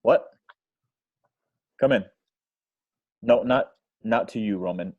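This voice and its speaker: A man playing a harried, smooth-talking Hollywood studio boss in a horror script read at home. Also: on the low side, slow. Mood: placating, flustered. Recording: dull home video-call audio.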